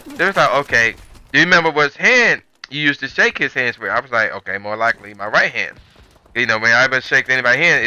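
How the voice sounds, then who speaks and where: A man's voice: medium pitch, pushed and bright, smooth. A man telling a story as a channel host, in a rambling, conversational, slangy way. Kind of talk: casual storytelling commentary.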